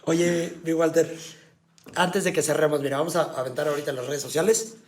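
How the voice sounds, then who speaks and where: Slangy, laid-back Mexican podcast host. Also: male voice, fairly high pitch, steady tone, fairly quick. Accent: Mexican Spanish. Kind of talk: casual interview hosting.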